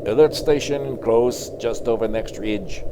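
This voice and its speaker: A man's voice: mid-range voice, measured pace, noisy background, lightly acted. A man voicing a friendly, helpful hunter guide from a comic book, speaking in terse, simple broken phrases. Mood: plain, helpful. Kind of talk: comic book read aloud.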